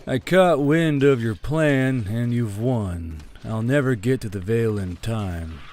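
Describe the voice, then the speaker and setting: A man's voice: medium pitch, unhurried, a little mellow, close to an everyday voice. A man playing a weary, blunt, defiant military commander in a fantasy war audiobook. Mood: resigned.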